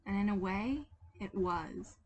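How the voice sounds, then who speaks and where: Slow-paced woman narrating fiction. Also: medium pitch, a little hiss or hum. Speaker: podfic narrator. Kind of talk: dramatic scripted fiction narration.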